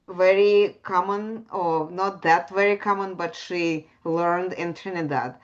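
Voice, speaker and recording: A woman's voice: lowish voice, fairly bright tone, muffled recording. A woman hosting a casual health interview, encouraging guests with frequent "mm-hmm". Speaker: host.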